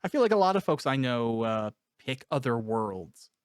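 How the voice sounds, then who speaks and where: A man's voice: mid-range voice, sing-song, normal speed, clear, resonant tone, dry recording, studio quality. A man speaking casually and candidly as an interviewer and author, in an introspective, conversational way. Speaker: author interviewing a cast member.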